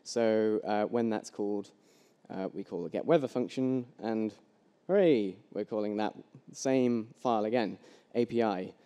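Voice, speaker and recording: A man's voice: fairly low pitch, unhurried, few pauses, mellow, clear, resonant tone. A young man giving a casual live coding talk at a meetup, with plenty of ums and restarts, on a headset mic. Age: young adult. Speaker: conference speaker. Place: large hall with long reverb. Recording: echoey hall.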